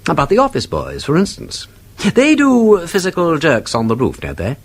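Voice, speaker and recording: An actor playing a witty, inquisitive gentleman sleuth in a radio drama, with an upper-class English accent and an urbane, argumentative, theatrical manner. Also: a little high, wildly swooping pitch, normal speed, exaggerated voice. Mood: feigned casual curiosity. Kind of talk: British radio drama acting.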